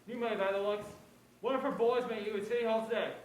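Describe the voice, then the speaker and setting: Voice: medium pitch, fairly even intonation, fast, close to an everyday voice. A student actor playing a blunt, suspicious police inspector in a noir stage play. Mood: suspicious, pressing. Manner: blunt, clipped, slangy. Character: brusque, suspicious police inspector, needling a detective. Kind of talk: 1940s noir stage acting. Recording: roomy stage echo, faint background noise.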